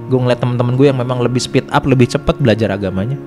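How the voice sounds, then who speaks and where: Fast-talking Jakarta man over music. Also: mid-range voice. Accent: Jakarta Indonesian. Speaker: podcast host sharing his own story. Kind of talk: casual conversational storytelling.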